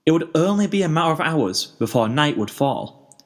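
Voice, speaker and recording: A man reading a story aloud as narrator, in an expressive scripted style. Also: fairly high pitch, moderate pace. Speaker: fan fiction narrator.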